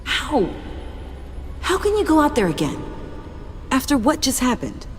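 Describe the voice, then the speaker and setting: A woman's voice: high voice, huge pitch swings, measured pace, a little hiss or hum, exaggerated voice. An actress playing an earnest, determined young woman in a video game, speaking with intense, direct emotion. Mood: distressed. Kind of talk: dramatic video game acting.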